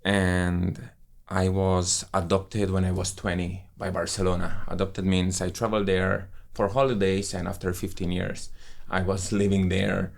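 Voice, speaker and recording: Deep gravelly-voiced man. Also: level tone, a little slow. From Romania. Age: 30s. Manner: effusive, enthusiastic storytelling with non-native English phrasing. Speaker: guest, wine professional. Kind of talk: casual conversation.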